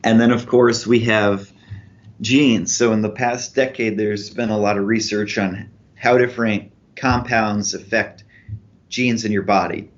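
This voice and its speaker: Methodical male researcher, low voice. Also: steady tone, a little slow. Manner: clear, methodical explaining with examples. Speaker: researcher guest. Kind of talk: explanatory interview answers. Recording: dull lo-fi podcast audio.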